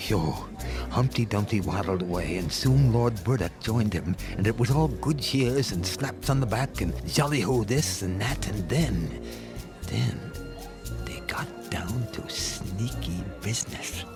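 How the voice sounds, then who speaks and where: Sly, slow-talking rodent club owner. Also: male voice, lowish voice, expressive, music in the background. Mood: suspicious. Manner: boastful, conspiratorial, sometimes dropping to a whisper. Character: vain, chatty rodent club proprietor, male, sly gossip. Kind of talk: theatrical audio drama storytelling.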